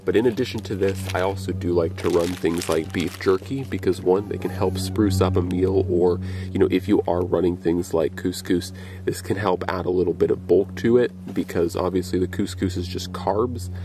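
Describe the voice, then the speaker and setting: A man's voice: deep voice, steady tone, moderate pace. A young man explaining things casually as an outdoor vlogger, speaking outdoors in the woods. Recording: faint background noise outdoors.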